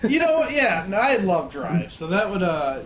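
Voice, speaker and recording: A man's voice: high-pitched, big pitch swings, unhurried, a loud crowd. A man trading casual, joking banter as a panelist in a round table of friends. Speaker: podcast panelist.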